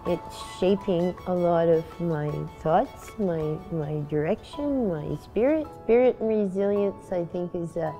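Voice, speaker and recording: A middle-aged woman speaking reflectively in a personal interview, earnest and halting, pausing mid-phrase. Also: on the low side, mellow. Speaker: street paper vendor. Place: indoor room. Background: music.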